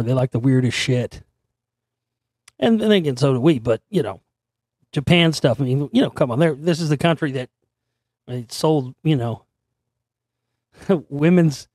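Hoarse man hosting a talk show. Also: mid-range voice, darkish tone, no echo, studio quality. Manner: rambling, conversational, frequent fillers and mild swearing. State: hoarse, voice recovering after straining it. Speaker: radio show host. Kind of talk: casual solo talk show monologue.

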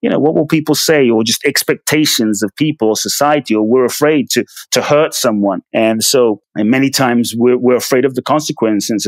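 A man's voice: medium pitch, dry recording. A man born in Ghana and raised in London, telling his life story as a podcast guest in an earnest, emphatic way. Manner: earnest, emphatic, with repeated words. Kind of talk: personal storytelling interview.

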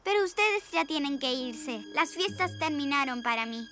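Luna, a high-voiced villain girl. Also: lively intonation, normal speed, music in the background. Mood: wistful. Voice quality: high, childlike. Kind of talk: Spanish cartoon dub.

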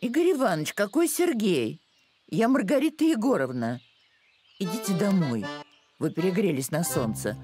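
Актриса озвучивает строгую, недовольную деревенскую женщину в мультфильме, говорит коротко и категорично. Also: female voice, mid-range voice, big pitch swings, moderate pace, mellow, soft background music, close to an everyday voice. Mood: annoyed. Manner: curt, correcting. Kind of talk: animated cartoon voice acting.

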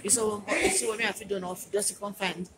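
A woman's voice: mid-range voice, steady tone, quick pace, heavy background noise. A woman out shopping with her daughter, chatting and pointing out prices and directions in a mall. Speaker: vlogger's mother. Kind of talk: casual chat while shopping.

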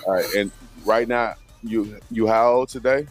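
A man hosting a podcast from a home studio, asking probing, measured questions into a desk mic. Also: lowish voice, steady tone, soft background music. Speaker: podcast host. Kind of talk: interview questions.

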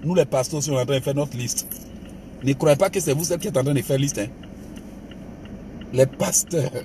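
A man's voice: mid-range voice, a little fast, mellow, a little hiss or hum. A man preaching a motivational sermon as pastor, with an emphatic, exhorting manner. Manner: emphatic preacher, calls listeners "bien aimé", mixes exhortation with laughs.

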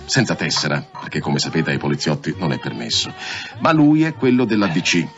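An actor in an Italian radio drama playing a pragmatic, cautious police subordinate who confides gossip in a colloquial way. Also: male voice, a bass voice, big pitch swings, brisk, fairly bright tone, dull audio, faint music behind, heavily acted. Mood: confiding.

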